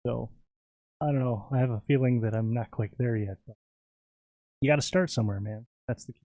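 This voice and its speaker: A man's voice: mid-range voice, brisk, no room sound, muffled recording. A man from Minnesota, a podcast guest, chatting in a laid-back, rambling way with lots of fillers. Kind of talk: casual podcast chat.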